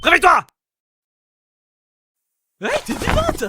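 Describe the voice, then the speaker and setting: Man voicing an excitable, very high-pitched cheeky sidekick. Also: slow pace, background noise, exaggerated voice. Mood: excited. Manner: excitable, exclaiming. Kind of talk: animated fan dub acting. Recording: loud music over the voice.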